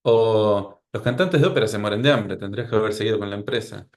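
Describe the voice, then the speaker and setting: A man's voice: on the low side, quick pace. A man with a Rioplatense Spanish accent, speaking as a psychologist guest in a podcast interview, with a wry, anecdotal way of talking.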